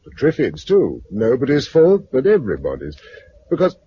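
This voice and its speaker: Weary British husband in vintage radio drama. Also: male voice, mid-range voice, huge pitch swings, normal speed, slightly rough, full character voice. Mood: brooding. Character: weary, reflective husband and farmer surviving after catastrophe. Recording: muffled, noisy 1950s radio recording.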